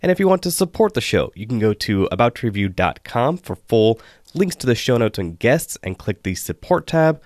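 A man hosting a solo podcast, hoarse and losing his voice, chatting with wry asides. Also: mid-range voice, no room sound, studio quality. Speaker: podcast host.

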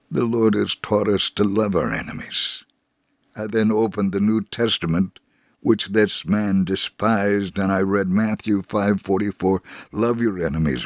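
Low-voiced man reading a dry, earnest story. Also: no echo. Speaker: dramatic reader of a testimony. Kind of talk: scripted first-person story narration, dramatic reading.

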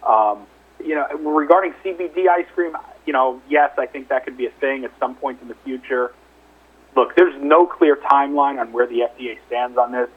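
A man's voice: mid-range voice, moderate pace, breathy edge. A male chief executive answering questions on an investor call over the telephone, explaining things in a conversational, hedging way. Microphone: telephone conference call. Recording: narrow, muffled telephone line.

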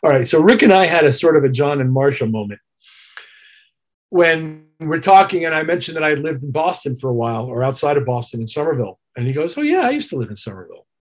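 A man's voice: fairly high pitch, big pitch swings, fast. A middle-aged or older man, a musician being interviewed, telling detailed, reflective anecdotes in a casual way and acting out bits of remembered dialogue. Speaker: musician and producer, interview guest.

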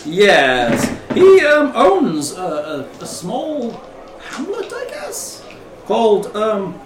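A man's voice: high-pitched, huge pitch swings, echoey room, recorded from a distance, noisy background, voice pushed up, heavily acted. A man voicing a hesitant foreign male river trader in a tabletop game. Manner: halting, full of hesitations.

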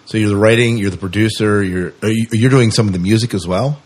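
A man with a deep, somewhat rough voice hosts a podcast interview. He talks casually, in a conversational style, as he puts questions and comments to his guest. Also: fast.

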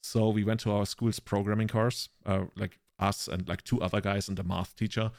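Podcast co-host: German-accented man, deeper register, very dry recording, loose conversational anecdotes. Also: level tone, moderate pace, gravelly, a little mellow, dry recording. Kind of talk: casual chat, rambling anecdotes.

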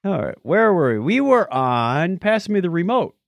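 A man's voice: high-pitched, very animated, normal speed, noisy background. A man hosting a podcast in a casual, playful, joking style. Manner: jokey, playful. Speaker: podcast host. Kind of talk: casual chat, listener shout-outs.

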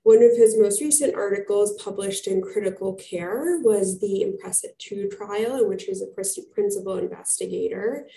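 Female host, mellow gravelly voice, earnest formal intro, echoey room. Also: medium pitch, normal speed, few pauses. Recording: roomy, echoey.

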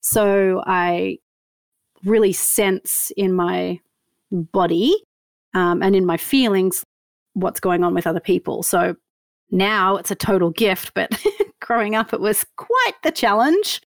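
A woman's voice: medium pitch, slow pace, creaky, no echo, studio quality. A woman in her fifties from Australia, with an Australian accent carrying a slight Canadian tinge, telling stories as an interview guest with lots of laughter and self-mockery. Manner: animated storyteller, laughs often, self-mocking. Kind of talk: casual interview chat.

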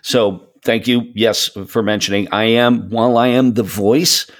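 A man's voice: lowish voice, fairly even intonation, measured pace. A man chatting as a podcast guest, explaining things in an enthusiastic, rambling way. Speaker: podcast guest, voice of an audio show. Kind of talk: casual conversational interview.

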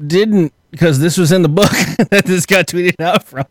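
A man's voice: high-pitched, measured pace, no room sound, studio quality. A man hosting a casual podcast, joking around and swearing in a playful way. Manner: jokey, profane, playful. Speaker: podcast host.

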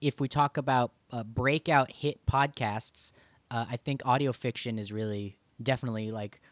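A man's voice: medium pitch, steady tone, moderate pace, dry recording. A man co-hosting a radio show, talking in a conversational discussion style.

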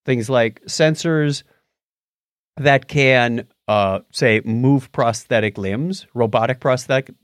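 A man co-hosting a podcast in casual chat, sometimes halting as he searches for words. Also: medium pitch, clean tone, dry recording, clean studio sound. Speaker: podcast co-host. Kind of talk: casual chat about movies and tech.

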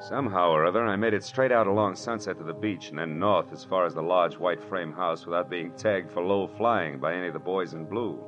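An actor playing a tough, wisecracking private detective in 1940s radio style, clipped and sardonic. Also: male voice, deep voice, fairly quick, hardly any pauses, close to an everyday voice. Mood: wry narration. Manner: clipped, hard-boiled, sardonic. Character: tough, wisecracking male private detective narrating his case. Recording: dull old radio recording with music.

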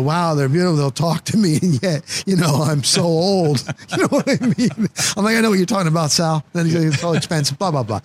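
An American man chatting casually as an interview host. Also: fairly high pitch. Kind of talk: casual chat.

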